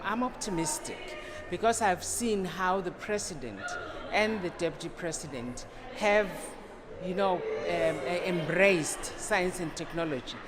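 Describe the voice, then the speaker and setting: A woman speaking hopefully and deliberately in an event interview. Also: fairly low pitch, lively intonation. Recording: noisy event recording.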